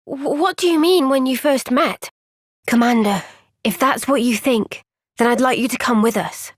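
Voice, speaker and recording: A gentle, thoughtful young woman soldier from a video game cutscene, voiced by an actress. She speaks softly and earnestly, often sighing, in a fairly high voice. The sound is close and dry. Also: normal speed, no room sound, lightly acted. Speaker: voice actor.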